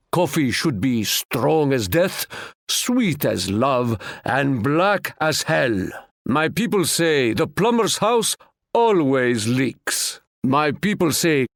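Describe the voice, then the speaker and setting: A male actor playing a proud, wise Ottoman sultan in a video game, speaking in a stately, formal and measured way. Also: a little high, no echo, studio quality. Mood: sage, measured. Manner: stately, formal, measured, proverb-laden delivery. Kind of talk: scripted video game character lines.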